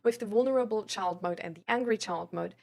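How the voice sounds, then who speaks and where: A woman's voice: mid-range voice, a little fast, creaky, darkish tone. A young adult woman chatting casually as a live streamer, explaining things in an informal, rambling way with lots of filler tags. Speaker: live streamer talking with chat viewers. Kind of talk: casual livestream chat about mental health and self-improvement.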